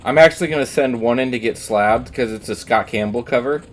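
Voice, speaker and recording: A male streamer talks casually over his gameplay. He rambles, fills pauses with ums and swears offhandedly, with his pitch sitting mid-range. Faint game music plays underneath. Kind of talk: casual chat while gaming. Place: at home, streaming.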